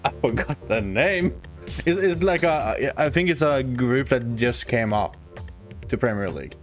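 A young man co-hosting a casual gameplay stream, pausing and searching for his words. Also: mid-range voice, animated, soft background music. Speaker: gaming co-host.

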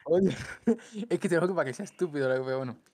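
A man's voice: fairly high pitch, lively intonation, very fast, warm tone, no room sound. A man born in Cuba, a guest on a casual podcast, rambling and dropping slang like loco and brother. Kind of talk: casual chat.

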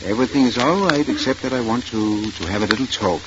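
An actor playing a kindly but firm family doctor in a 1940s radio drama. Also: male voice, mid-range voice, quick pace, close to an everyday voice. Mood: firm, probing. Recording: noisy, muffled 1940s radio recording.

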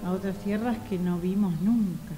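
A woman's voice: mid-range voice, steady tone, background noise, natural voice. A woman playing a weary, exasperated older female office clerk, plaintive yet defiant, in a radio play. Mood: melancholy, despairing. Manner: plaintive, drawn-out complaining. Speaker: amateur radio theatre actor. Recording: echoey hall with faint music.

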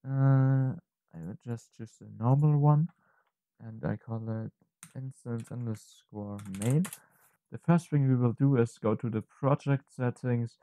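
A man narrating an unscripted software tutorial, thinking aloud haltingly and correcting himself as he goes. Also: medium pitch, no echo. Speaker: tutorial presenter.

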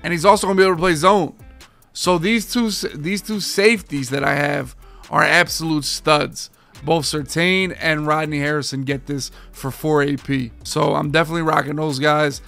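A man in his thirties, a gaming streamer talking casually and energetically to his viewers, his voice a bit hoarse from overuse. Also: a little high, moderate pace, faint music behind. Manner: energetic, slangy, addresses viewers as boys and guys. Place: home game room with framed pictures.

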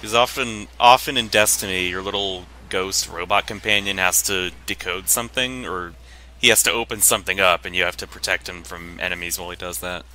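A man with a lowish, very sharp and bright voice chats casually alongside someone playing a game. He sounds like a co-commentator, with faint noise on his recording. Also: fairly even intonation, fairly quick, poor audio. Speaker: let's play co-commentator. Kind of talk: casual chat over gameplay.

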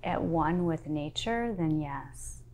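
A woman in her forties, a pastor, answering interview questions in a thoughtful, measured way, recorded with a lapel mic in a wood-panelled study. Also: low-pitched, animated, a little mellow, slight background noise. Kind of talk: sit-down interview.

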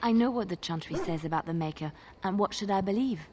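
A woman's voice: medium pitch, quick pace, slight background noise, close to an everyday voice. Earnest, devout young woman played by an actress. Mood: earnest, fervent. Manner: hushed, earnest, dramatic storytelling delivery. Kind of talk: fantasy video game dialogue acting.

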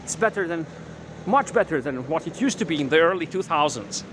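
A man in his thirties guiding a casual walking tour on a quiet residential street, talking with many fillers and restarts into a handheld camera mic. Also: on the high side, lively intonation, brisk. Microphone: handheld camera mic while walking. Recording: clear outdoor street noise.

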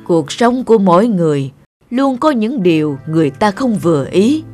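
A man voicing a kindly old wish-granting sage in a cartoon, speaking slowly and calmly. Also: high voice, soft background music. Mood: wise, gently admonishing. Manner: slow, calm, solemn. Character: kindly old male Bụt, fairy-tale sage granting wishes. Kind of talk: cartoon voice acting.

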